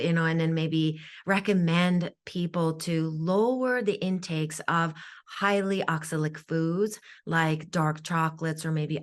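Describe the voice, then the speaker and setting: A woman with a Japanese accent, a native Japanese speaker, explaining health practices as an interview guest in an earnest, flowing way, often repeating a word as she restarts. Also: low-pitched. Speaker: guest, functional nutritional therapist.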